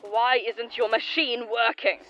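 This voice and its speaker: A woman plays a stern commander barking short orders at mid pitch. A faint hiss and distant gunfire sit under the voice. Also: steady tone, measured pace, lo-fi sound, close to an everyday voice. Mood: commanding. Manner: curt, commanding.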